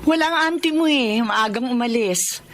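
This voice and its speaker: A woman's voice: fairly high pitch, lively intonation, normal speed, fairly bright tone, slight background noise. An actress playing an eager, affectionate mother home from working abroad, earnest and longing for her daughter, in a radio drama.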